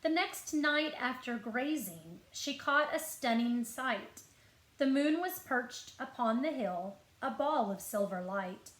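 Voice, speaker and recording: A woman reads a children's story aloud in a fairly high voice. She takes her time, phrasing each line deliberately and expressively, as a librarian does for young listeners. The room adds a noticeable echo. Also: animated, fairly bright tone. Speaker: school librarian. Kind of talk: picture-book read-aloud to children. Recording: roomy echo.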